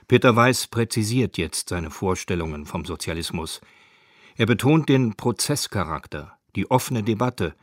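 A man's voice: lowish voice, dry recording, studio quality. A man with a standard German accent reading scripted narration for a radio documentary.

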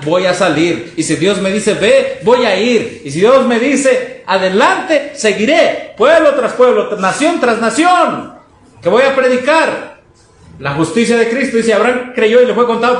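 A man preaching to a congregation, exhorting with rhetorical questions, reading quotations and commenting on them. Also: sits high. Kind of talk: fervent sermon to a congregation, reading quotes and commenting. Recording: echoey room, noisy background.

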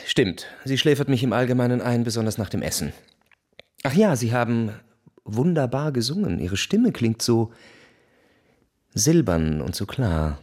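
An actor playing a suave, cynical, bored young aristocrat in a radio drama, narrating and speaking with smooth, ironic detachment. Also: male voice, mid-range voice, very animated, normal speed, a little mellow, no echo, studio quality. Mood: ironic, flattering.

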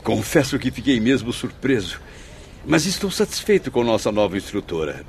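A man's voice: mid-range voice, big pitch swings, moderate pace, creaky. An actor playing an astonished, courteous male spirit newcomer in a radio drama, with formal, exclamatory delivery. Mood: surprised, pleased. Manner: exclamatory, theatrical, formal wording. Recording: faint background noise.

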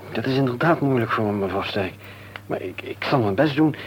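An actor playing a modest, courteous gentleman in a radio play, speaking politely, formally and with some hesitation. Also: mid-range voice, lively intonation, a little fast, lightly acted. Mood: uneasy. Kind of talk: Dutch radio play acting. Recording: faintly noisy old radio recording with hum.

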